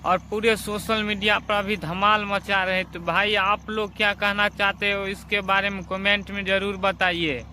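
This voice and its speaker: Male online presenter, high forceful voice, little pitch variation, light ambient noise. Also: level tone, moderate pace, hardly any pauses. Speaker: video presenter. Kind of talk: addressing online viewers, commentary.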